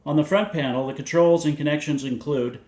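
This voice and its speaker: A man presenting a scripted technical overview in a measured, matter-of-fact way, reading out details methodically. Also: a little high, a little mellow. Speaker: presenter of vintage radio videos. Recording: roomy, echoey indoor sound.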